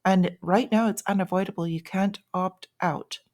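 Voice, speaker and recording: A woman hosting an informal market news update, explaining things in short, measured phrases with small pauses. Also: medium pitch, steady tone, darkish tone. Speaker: host of a daily finance update show.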